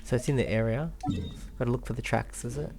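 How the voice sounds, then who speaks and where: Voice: medium pitch, quick pace, vocal fry. A gamer giving casual let's-play commentary, thinking aloud and reading on-screen text. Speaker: gaming video host. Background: faint game music.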